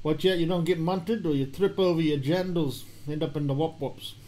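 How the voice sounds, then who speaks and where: A man's voice: on the high side, moderate pace, warm tone, close to an everyday voice. A man putting on a slangy New Zealand bloke. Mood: joking. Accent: New Zealand, put on. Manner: hammy, slangy impression. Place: live show, camera filming.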